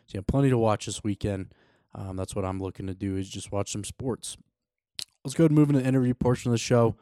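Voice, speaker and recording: A man presents a sports show in a conversational, easygoing style. His voice is on the low side and a little mellow, recorded close and dry. He sounds like a host chatting casually to his listeners and guests. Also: fast talker, no echo.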